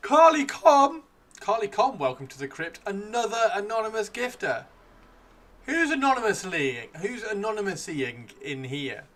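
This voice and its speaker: A man hosting a casual live stream, chatting excitably and repeating his phrases, with his voice starting to give out. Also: high-pitched, normal speed. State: losing his voice. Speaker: livestream host. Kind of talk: casual live stream chat while painting miniatures.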